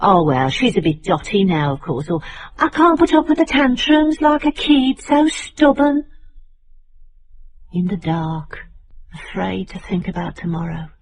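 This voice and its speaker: An actress playing a watchful, brooding narrator, delivering literary drama monologue in incantatory short phrases. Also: female voice, mid-range voice, sing-song, unhurried, a little hiss or hum, rough recording. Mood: bitter, sombre. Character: brooding, watchful female narrator of a bleak city.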